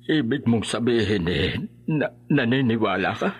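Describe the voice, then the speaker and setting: A man playing an older grandfather in a horror radio drama, halting yet emphatic in defending his son. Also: mid-range voice, animated, slightly rough. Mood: disbelieving, defensive. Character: elderly grandfather, loyal father refusing to doubt his son.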